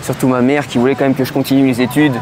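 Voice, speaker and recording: A man in his twenties from the Lyon region, answering interview questions outdoors in a city, pausing often with fillers. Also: medium pitch, level tone. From Lyon region, France. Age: 20s. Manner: hesitant, many fillers and restarts. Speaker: interview guest. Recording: clear street noise behind.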